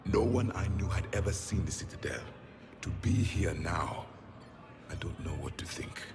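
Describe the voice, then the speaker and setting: A man's voice: low-pitched, animated, moderate pace, background noise. A man voicing a proud ancient alien warrior in a video game, solemn and imperious. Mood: solemn, wistful.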